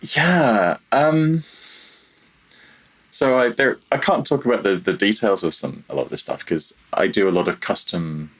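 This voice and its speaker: A man's voice: fairly low pitch, a bit bright, slightly rough. A man in his thirties talking casually and candidly as an interview guest, often correcting himself mid-sentence. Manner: halting, self-correcting, candid, chuckles at himself. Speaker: podcast guest, freelance audio developer.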